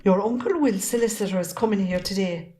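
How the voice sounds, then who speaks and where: A woman's voice: medium pitch, a little fast, warm tone, natural voice. Woman acting resentful Irish farmer's wife. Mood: weary, resentful. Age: middle-aged. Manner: reproachful, pointed. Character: wronged, resentful Irish farmer's wife, standing her ground. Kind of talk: amateur stage-play acting.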